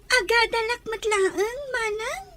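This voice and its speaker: A very high, bright voice, a woman acting as an anxious young schoolgirl in a radio drama. She is tearful and slow in her delivery, pleading like a little sister. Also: no room sound, full character voice.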